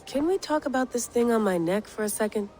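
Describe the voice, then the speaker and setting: An actress playing a troubled, guarded field operative in a video game, earnest and uneasy, speaking hesitantly. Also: female voice, on the high side, expressive, fairly quick, a little mellow, a little hiss or hum, close to an everyday voice. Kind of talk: naturalistic video game acting. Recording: music playing behind the voice.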